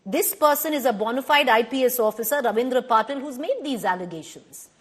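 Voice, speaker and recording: A woman news anchor with an Indian English accent, moderating a TV debate in a firm, measured way and correcting guests. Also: on the high side, slightly rough.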